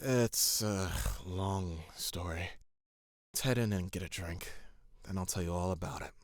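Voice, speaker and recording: A man's voice: low voice, measured pace, dry recording. An actor playing a passionate, blunt young male rebel leader in an audio drama. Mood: sullen, low. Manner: impassioned, blunt, swearing.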